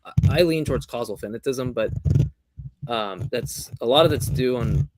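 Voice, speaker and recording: A male podcast host who talks in a casual, joking way, rambling fast with many restarts. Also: medium pitch, very noisy. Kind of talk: casual discussion.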